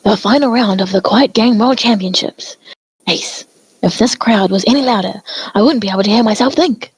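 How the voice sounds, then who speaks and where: Woman as a husky cartoon pony sports announcer. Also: mid-range voice, moderate pace, dry recording. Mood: chatty commentary. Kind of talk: sports commentary parody.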